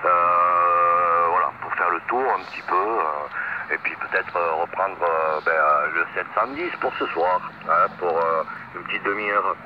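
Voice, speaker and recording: Low-voiced man over muffled ham radio. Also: fairly even intonation. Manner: halting, hesitant phrasing. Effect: radio transmission. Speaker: amateur radio operator. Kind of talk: casual radio contact. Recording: muffled shortwave radio with static. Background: radio noise.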